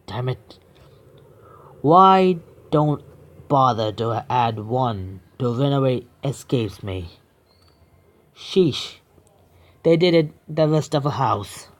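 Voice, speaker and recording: A man's voice: medium pitch, lively intonation, slow pace, pauses often. A young man streaming a horror visual novel, reading the text aloud with stumbles and breaking off into swearing, joking asides. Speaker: game streamer.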